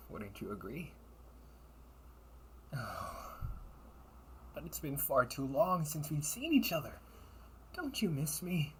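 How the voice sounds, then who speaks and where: A man's voice: medium pitch, a little hiss or hum. A man playing a sly, wealthy older brother in a sci-fi audio roleplay, with theatrical, formal, teasing delivery. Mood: mock-warm, teasing. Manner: theatrical, formal wording with teasing chuckles and drawn-out pauses. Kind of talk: solo audio roleplay addressed to the listener.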